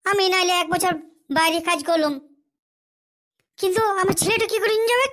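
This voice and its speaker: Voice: very high-pitched, a little fast, fairly bright tone, dry recording. A performer voicing a hapless, gullible village husband in a comic cartoon, whining and hesitant. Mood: puzzled complaint.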